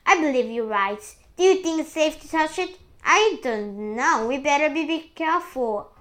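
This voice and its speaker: A woman voicing a bossy, excitable mean aunt from a children's storybook, exclaiming loudly. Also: high-pitched, normal speed, voice pushed up. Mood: excited. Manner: shouting, exclaiming.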